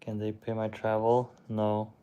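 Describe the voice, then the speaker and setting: A young man whose first language is Czech, hosting a casual livestream and answering chat in a slow, hesitant, shrugging way. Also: on the low side, completely flat delivery, mellow, dull audio, slight background noise. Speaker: livestream host reading chat.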